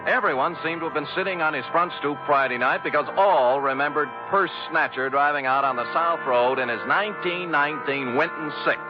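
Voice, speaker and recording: An actor playing a wry, deadpan private detective in a 1940s-style radio drama. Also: male voice, on the high side, a little fast, hardly any pauses, lightly acted. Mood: wry narration. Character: wry hard-boiled male private detective. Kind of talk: 1940s radio drama acting, first-person narration. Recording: muffled old radio recording with music.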